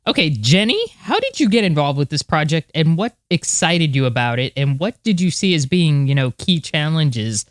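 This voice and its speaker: A man's voice: fairly high pitch, animated, moderate pace, few pauses, no room sound, clean studio sound. A man co-hosting a podcast, chatting casually and asking questions. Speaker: podcast co-host. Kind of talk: casual podcast chat and interviewing.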